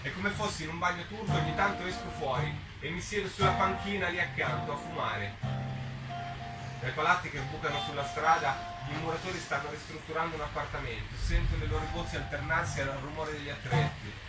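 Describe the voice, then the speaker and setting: Male live reader, expressive first-person storytelling, roomy sound with prominent guitar behind. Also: on the high side, a little fast. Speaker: reader at a book presentation. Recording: roomy, distant mic, guitar music behind. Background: plucked guitar music.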